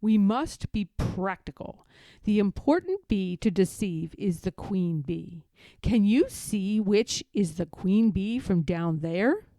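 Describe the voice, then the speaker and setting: A woman voicing an earnest, self-important male toy bear from a children's storybook, speaking deliberately. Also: medium pitch, lively intonation, warm tone, dry recording. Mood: practical, scheming. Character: earnest, pompous little toy bear, male, slow-thinking.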